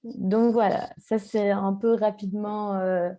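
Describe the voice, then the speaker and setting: Mellow-voiced Tunisian-born woman. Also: mid-range voice, little pitch movement, a little slow. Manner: hesitant, frequent fillers. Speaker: social enterprise cofounder. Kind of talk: webinar introduction.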